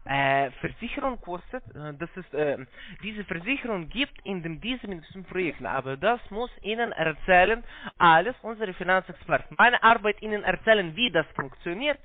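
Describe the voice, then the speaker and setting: A non-native speaker, a man with a foreign accent and halting, broken grammar, giving a phone sales pitch. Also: animated. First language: not German. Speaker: call-centre investment salesman. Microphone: telephone. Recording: muffled band-limited phone line.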